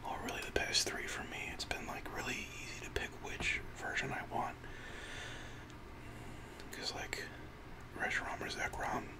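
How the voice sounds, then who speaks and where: Whispering, rambling ASMR gamer. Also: normal speed. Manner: rambling, hesitant, casual and sweary. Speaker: gaming video creator. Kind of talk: whispered ASMR gaming commentary.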